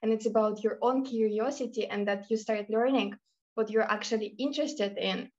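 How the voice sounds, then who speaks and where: A woman's voice: fairly high pitch, steady tone, normal speed, dull audio. A woman from Russia, a student speaking enthusiastically and reflectively on a remote panel over a video call. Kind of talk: casual remote panel answer.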